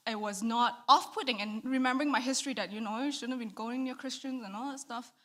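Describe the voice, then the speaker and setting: A woman in her thirties from Malaysia, giving a candid, soft-spoken testimony in an interview and laughing between thoughts. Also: on the high side, fast. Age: 30s. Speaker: church member giving testimony.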